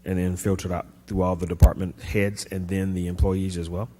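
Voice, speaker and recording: Low, dark-voiced council member. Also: male voice, level tone, moderate pace. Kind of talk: questioning a vendor. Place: city council chamber.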